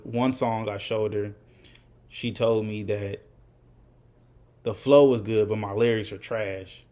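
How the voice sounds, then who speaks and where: A young man in his twenties talks straight to the camera in a loose, unscripted way. His voice sits on the low side, and he circles back often, restarting thoughts and filling gaps with "you know." He sounds candid and reflective. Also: a little mellow. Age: 20s. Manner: rambling, with many fillers and restarts. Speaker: vlogger. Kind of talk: casual unscripted talk to camera.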